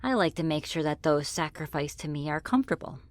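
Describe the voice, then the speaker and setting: A woman's voice: low-pitched, lively intonation, a little fast. A woman voicing a friendly, teasing female demon in an ASMR roleplay, with casual, politely menacing delivery. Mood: gently teasing. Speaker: roleplay voice performer. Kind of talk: ASMR roleplay, scripted one-sided dialogue.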